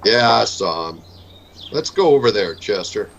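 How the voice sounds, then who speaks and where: An actor playing a stern, laconic frontier marshal in a vintage radio Western, speaking in a terse, firm way. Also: male voice, fairly low pitch, very animated, a little slow, gravelly, background noise, exaggerated voice. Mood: wary.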